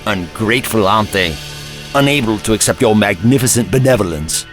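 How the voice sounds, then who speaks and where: An older man playing a haughty, sardonic time-traveling gentleman with old-fashioned courtesy in an audio drama. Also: lowish voice, expressive, brisk, soft background music, lightly acted.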